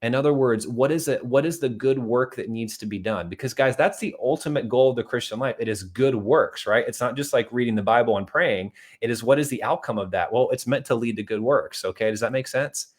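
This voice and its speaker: A man's voice: mid-range voice, a little fast, hardly any pauses. Earnest man preaching money and faith. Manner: earnest, conversational, with many asides to "guys"; acts out little dialogues and tells anecdotes. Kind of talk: motivational video talk to viewers.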